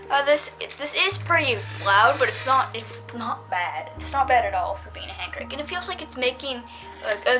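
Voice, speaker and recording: A female-sounding reviewer giving a casual, unscripted product demonstration, talking in a hesitant, rambling way. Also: mid-range voice.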